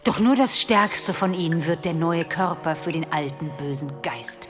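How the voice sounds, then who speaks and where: An actress plays a bitter old woman of a radio play. Her voice is on the low side, foreboding and scornful, sometimes sinking to a breath. Music sounds clearly behind her. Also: normal speed, lightly acted. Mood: dark recounting. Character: bitter old crone, grim keeper of dark lore. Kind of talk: German audio drama acting.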